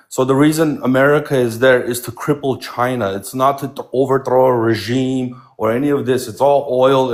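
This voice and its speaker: A man confidently laying out his political reasoning as a guest on a live stream. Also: mid-range voice. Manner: explanatory, confident. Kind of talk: political commentary.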